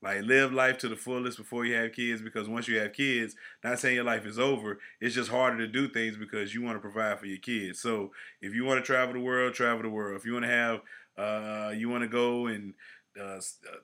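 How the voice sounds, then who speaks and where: A man's voice: mid-range voice, a little fast. Slangy laid-back man in his forties. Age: 40s. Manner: animated, slangy, trailing off quietly at phrase ends. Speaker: podcast co-host. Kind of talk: casual chat.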